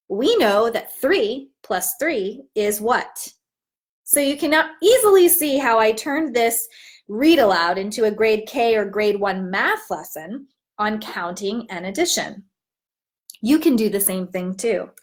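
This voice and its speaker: A woman teaching in an instructive, expressive way, as if demonstrating how to read a storybook aloud. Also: mid-range voice. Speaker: teacher trainer.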